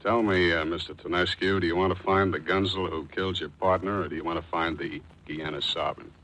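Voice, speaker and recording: An actor playing a wisecracking, brusque private detective, with clipped, cynical delivery and first-person narration in 1940s radio style. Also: male voice, sits low, steady tone, fairly quick, fairly bright tone, a little hiss or hum. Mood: dry, probing. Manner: clipped, terse questioning. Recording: 1940s radio drama with music.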